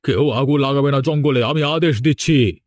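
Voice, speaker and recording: A man's voice: mid-range voice, moderate pace, a little mellow, dry recording, clean studio sound, natural voice. A man playing a stern village leader in a horror cartoon, solemn and commanding as he gives orders.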